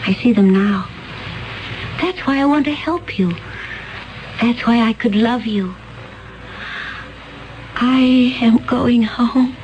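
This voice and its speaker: High-voiced otherworldly alien woman, flat measured way of talking, old radio play. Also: lo-fi sound. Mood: serene. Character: calm alien woman from another planet, eerie. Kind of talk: 1970s radio drama acting.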